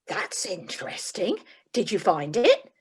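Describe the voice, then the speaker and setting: A woman's voice: lowish voice, huge pitch swings, unhurried, breathy edge, exaggerated voice. A woman playing a businesslike, slightly weary job agent in a comic radio play. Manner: businesslike, dry, faintly exasperated. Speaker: employment agent.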